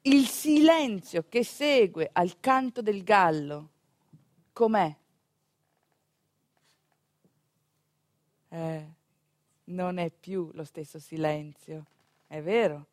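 An actress playing an animated village storyteller in a theatrical monologue, talking to the audience conversationally with dramatic pauses. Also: female voice, lowish voice, no room sound. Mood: hushed, reflective. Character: animated female village storyteller recounting a home birth.

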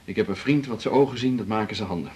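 A man's voice: lowish voice, fast talker, a little mellow, a little hiss or hum, lightly acted. A man acting in a 1980s Dutch radio drama as a hospitable, modest cello teacher, casual in manner, with a Netherlands Dutch accent. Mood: proud, welcoming.

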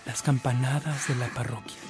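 A woman's voice: extremely low pitch, fairly even intonation, moderate pace. A woman narrator reading a scripted radio drama story. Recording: narration over clear music.

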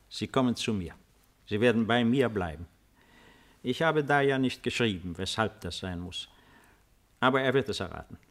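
A man's voice: mid-range voice, a bit bright, lightly acted. An older man playing an ailing, lonely old emperor in 1950s German radio drama, speaking in a stately, theatrical manner.

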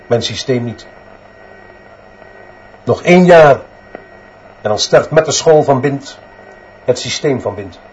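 An actor playing a stern, disillusioned headmaster, speaking in grave, clipped declarations in a radio drama monologue. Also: male voice, on the high side, darkish tone. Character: stern, disillusioned male headmaster confessing his failure. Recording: dull muffled sound, faint background noise.

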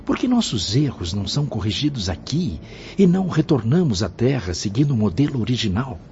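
Actor as troubled, animated questioning pupil. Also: male voice, medium pitch, a little fast. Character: Mário, troubled questioning male spirit pupil. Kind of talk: Brazilian radio drama acting. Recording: dull audio with background noise.

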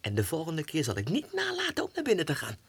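An actor playing an unhinged, excitable low clerk who mutters haltingly to himself in a 1960s radio monologue. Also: male voice, creaky, exaggerated voice. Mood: agitated resolve.

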